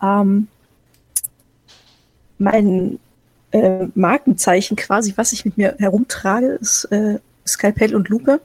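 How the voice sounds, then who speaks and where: A woman with a somewhat husky voice introduces her role-playing character, hesitant and full of fillers, with light jokes. The sound is dry and close, with no room around it. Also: mid-range voice, fairly even intonation, takes it slowly, long pauses, a little mellow, no room sound, low-quality recording. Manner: hesitant, many fillers, joking. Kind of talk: casual chat.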